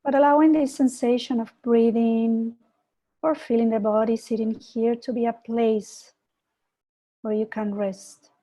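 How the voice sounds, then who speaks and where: A woman from Peru, a mindfulness teacher, giving an online talk and gently guiding a meditation over a video call. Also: on the high side, steady tone, rough recording. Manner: slow, soft guiding voice with gentle invitations. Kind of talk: online presentation and guided meditation.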